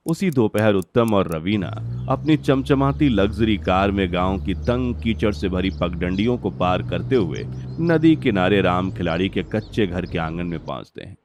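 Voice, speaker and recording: A man's voice: low voice, expressive, moderate pace, noisy background. A man narrating a scripted cartoon family story.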